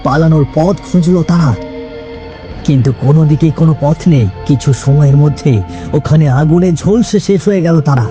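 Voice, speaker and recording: A man's voice: on the high side, normal speed, over music. A man narrating a story in a dramatic, scripted style.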